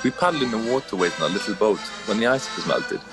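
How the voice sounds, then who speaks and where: Man playing a jolly, animated storybook host. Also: on the low side, fast talker, over music, close to an everyday voice. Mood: cheerful. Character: jolly, courteous, flirty male host inside a magical painting. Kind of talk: audio play acting.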